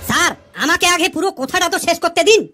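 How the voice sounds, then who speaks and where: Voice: very high voice, fast talker, sharp tone. A performer voicing a cheeky joker of a pupil in a comedy cartoon, explaining silly ideas.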